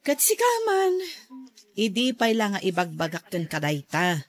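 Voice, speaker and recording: Lively Filipino woman shopkeeper. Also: medium pitch, a little slow, full character voice. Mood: frank, animated. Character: helpful older sister running a mini shop. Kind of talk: Filipino radio drama acting.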